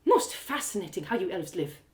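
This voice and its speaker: A woman's voice: medium pitch, sing-song, fairly quick, a little mellow, natural voice. A woman voicing a frank, inquisitive former woman thief in an audio drama, talking in a chatty, knowing, probing way.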